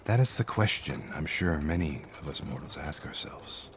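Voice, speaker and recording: A man plays a courteous, philosophical cart driver in a fantasy audio drama. His voice sits very low, and he speaks with formal, deferential care. A faint noise runs behind him. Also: lively intonation, normal speed, creaky, heavily acted. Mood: reflective, earnest.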